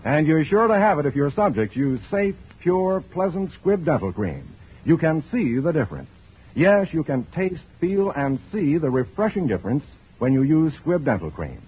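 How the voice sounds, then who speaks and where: A man working as a radio announcer, reading a scripted 1940s sponsor pitch in a husky voice. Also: sing-song, moderate pace. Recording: thin, narrow old radio recording.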